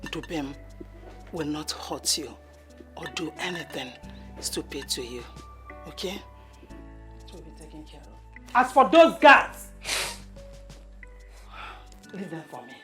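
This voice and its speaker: Actress as a queen mother, high voice, slow drawl, imperious. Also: very animated, echoey room, faint music behind, full character voice. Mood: soothing. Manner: commanding, shouts at servants, coos at her daughter. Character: imperious middle-aged queen mother, fierce and doting. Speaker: actor.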